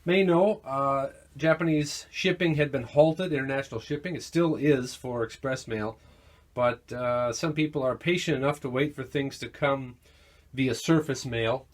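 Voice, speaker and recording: A man giving a casual, informal product demo of audio gear, halting a little as he thinks aloud. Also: mid-range voice. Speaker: gear seller demonstrating vintage audio equipment. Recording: noticeable background noise, amateur demo recording.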